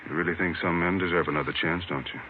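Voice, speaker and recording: A man acting as a stern, fair-minded American police chief in an old radio drama. His voice is very low and his questions come quick and blunt. The recording is narrow and hissy. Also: steady tone, natural voice. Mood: stern, probing. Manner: blunt, clipped, probing.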